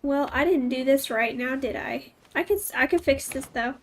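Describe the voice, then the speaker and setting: A woman's voice: high-pitched, normal speed, a little hiss or hum. A woman streaming a game, chatting casually with viewers and making playful asides. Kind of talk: casual live gameplay chat. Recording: faint game music behind.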